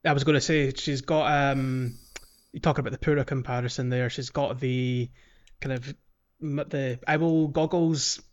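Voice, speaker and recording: Male podcast co-host, mid-pitched and halting, casual game chat, dull lo-fi sound. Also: steady tone. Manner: hesitant, halting, searching for words. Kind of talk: casual video game discussion.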